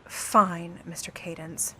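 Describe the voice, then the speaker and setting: A woman's voice: on the low side, fairly even intonation, slow pace, bright, forceful tone, a little hiss or hum. A woman as a cool, haughty scheming starlet. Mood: cool disdain. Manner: haughty, controlled, cutting formal delivery. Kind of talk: old-time noir radio play acting.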